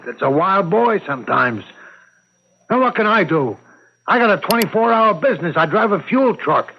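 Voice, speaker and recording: Husky, desperate pleading father. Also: on the high side. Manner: pleading, agitated, halting repetitions. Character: desperate working-class father, fuel truck driver, pleading for his son. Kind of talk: old-time radio drama acting. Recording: dull lo-fi old radio recording.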